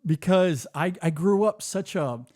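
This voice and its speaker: A man's voice: high-pitched, animated, unhurried, darkish tone, no echo, clean studio sound. A man from Michigan hosting a podcast in casual chat, telling a personal story earnestly, often repeating words as he goes. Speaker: podcast host.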